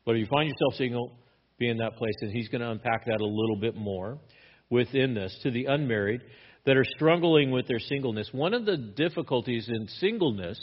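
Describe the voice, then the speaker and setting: A man's voice: mid-range voice, muffled recording. A man pastor teaching a sermon verse by verse in a conversational manner, often restarting his words.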